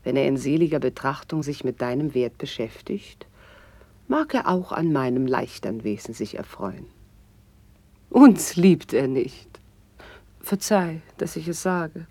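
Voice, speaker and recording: Low-voiced, eloquent noblewoman. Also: expressive, measured pace, warm tone, no echo, full character voice. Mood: animated, frank. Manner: lyrical, eloquent, formal declamation. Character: graceful, worldly noblewoman, witty and warmly eloquent confidante. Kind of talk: classical German verse radio drama acting.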